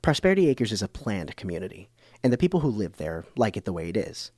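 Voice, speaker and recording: A man plays a puzzled, earnest documentary host in a radio comedy. He has a fairly low, very expressive voice in a dry recording, scripted and conversational. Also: quick pace, breathy edge, no echo. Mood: measured, wry. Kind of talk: public radio scripted narration and interviewing.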